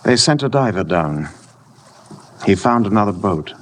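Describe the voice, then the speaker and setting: Actor as a deep-voiced, brooding husband. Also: male voice, expressive, natural voice. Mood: resigned. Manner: grave, measured, weary. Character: tormented, brooding husband, grave and fatalistic. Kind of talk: 1950s radio drama acting. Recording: noisy old 1950 radio broadcast.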